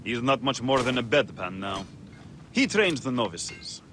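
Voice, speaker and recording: An actor playing a jaded, crude gladiator arena master in a video game, with a sardonic, theatrical, dismissive way of talking. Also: male voice, mid-range voice, big pitch swings, a little slow, noisy background. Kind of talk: video game character acting.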